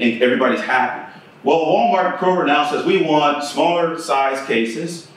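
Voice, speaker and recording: A man's voice: a little high, a bit bright. A middle-aged man giving a conversational keynote talk at a conference and putting questions to the audience. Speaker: keynote speaker. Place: conference room with projector screen. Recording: echoey room, from a distance.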